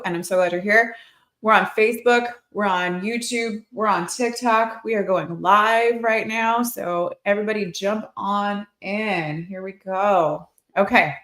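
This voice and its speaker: American woman in her forties, echoey room. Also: mid-range voice. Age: 40s. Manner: chatty, informal, expressive, addressing viewers directly. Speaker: nurse practitioner hosting a livestream Q&A. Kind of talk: casual livestream Q&A chat. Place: indoor clinic room under construction. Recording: echoey, roomy livestream sound.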